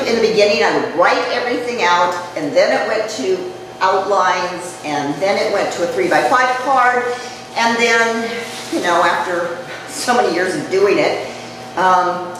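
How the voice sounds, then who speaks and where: Older woman speaking low in an echoey hall. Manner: conversational, chatty, jokes with the audience. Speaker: speaker giving a short public talk. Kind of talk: informal talk to a live audience. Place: community event room. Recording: echoey hall, mic far off, noisy.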